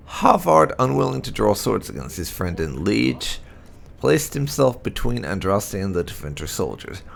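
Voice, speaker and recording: Expressive man reading fantasy lore, gravelly edge. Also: on the low side, a little hiss or hum. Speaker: gaming channel narrator. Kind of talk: dramatic reading of game lore aloud.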